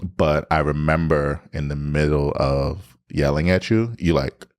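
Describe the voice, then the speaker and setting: A man with a deep voice co-hosting a podcast, candidly retelling a story and acting out his own past lines. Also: unhurried. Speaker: podcast co-host. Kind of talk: candid storytelling in casual chat.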